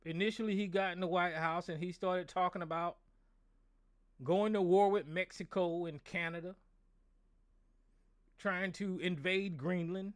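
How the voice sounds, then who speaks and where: A middle-aged American man with a hoarse, somewhat high voice rants with anger and plenty of profanity. He hosts a call-in talk show and keeps circling back over the same points, stumbling on his words. The sound is close and dry. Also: steady tone, unhurried, pauses often, no room sound. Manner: angry, profane, repetitive. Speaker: show host. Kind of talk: political rant on a call-in show.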